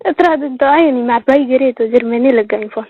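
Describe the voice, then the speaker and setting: Marwari woman on a phone call, really high voice, dull lo-fi sound, casual short answers. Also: very fast, slight background noise. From Rajasthan, India. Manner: short replies and repeated questions. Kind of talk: casual private phone chat. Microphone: telephone. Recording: muffled lo-fi phone call recording.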